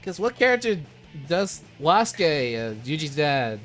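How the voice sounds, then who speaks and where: A man's voice: a little high, very animated, measured pace, a bit bright. A young man co-hosting an anime ranking in casual banter, blunt and slangy, often stammering into his sentences. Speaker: co-host of an anime ranking show. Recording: music playing behind the voice.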